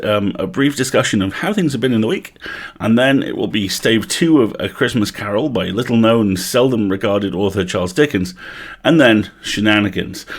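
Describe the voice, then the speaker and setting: A man's voice: fairly low pitch, husky. A British man hosting a livestream in a casual, chatty way, with wry, playful asides. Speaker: livestream host.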